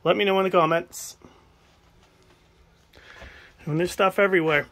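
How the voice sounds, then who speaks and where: A man's voice: sits high, steady tone, fast, a bit bright. A man filming himself with a handheld phone while he picks through a cramped wooden shed, musing aloud to viewers in a casual way. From New England. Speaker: estate sale buyer filming a shed cleanout. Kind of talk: casual running commentary while picking items. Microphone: handheld phone camera.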